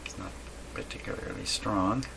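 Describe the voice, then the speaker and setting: A man giving casual hands-on repair narration, halting and thinking aloud to himself as he works. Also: low voice. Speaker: host of a radio repair channel. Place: indoors at a home workshop. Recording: clearly noisy room recording.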